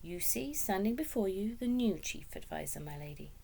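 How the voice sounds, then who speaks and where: A woman's voice: mid-range voice, lively intonation, normal speed, gravelly, mellow, husky. A cold, scheming nobleman from a children's storybook, acted out by a woman reader. He speaks with courtly politeness that carries menace. Every phrase is insinuating and probing, as if testing the listener. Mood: smug.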